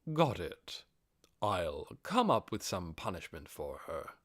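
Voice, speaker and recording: A man voicing a cautious, gracious undead overlord in an audiobook, speaking in a measured, commanding way. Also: deep voice, big pitch swings, dry recording, clean studio sound, voice pushed down, heavily acted. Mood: benevolent. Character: undead overlord with red-spark eyes, cautious, gracious master. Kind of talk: audiobook character acting.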